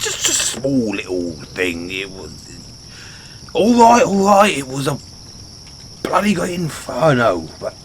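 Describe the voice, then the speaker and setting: A British man voicing a dim, sheepish orc barbarian in a fantasy audio roleplay, speaking in a halting, blunt, stammering way. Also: lowish voice, a little hiss or hum, full character voice. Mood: exasperated. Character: gruff but sheepish, dim orc barbarian adventurer, adult male. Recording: outdoor night ambience with crickets.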